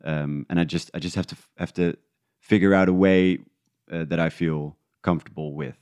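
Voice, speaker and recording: A man talking casually and reflectively as an interview guest, feeling his way through thoughts with halting restarts. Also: low voice, steady tone, darkish tone, clear, resonant tone, no echo. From Netherlands. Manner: halting, thinking aloud in restarts. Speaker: interview guest, independent podcast creator.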